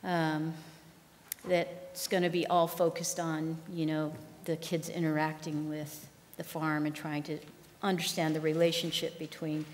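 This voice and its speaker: Low-voiced woman speaking in a hall. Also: little pitch movement, unhurried, a little hiss or hum. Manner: conversational, frequent ums, restarts. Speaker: farm manager giving keynote. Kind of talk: conference keynote talk. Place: large lecture hall. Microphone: podium microphone. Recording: long hall reverb.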